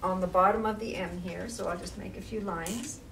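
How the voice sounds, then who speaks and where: A woman reading to young children as a library storytime reader, with measured, expressive phrasing and casual asides. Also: fairly low pitch, gravelly. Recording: distant mic with faint background noise.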